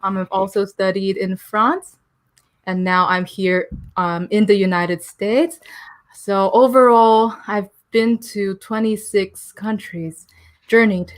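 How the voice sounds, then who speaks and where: A woman's voice: mid-range voice, fairly even intonation, takes it slowly. Chatty young woman guest, laughing often. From Philippines and Taiwan. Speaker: podcast guest. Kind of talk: casual interview chat.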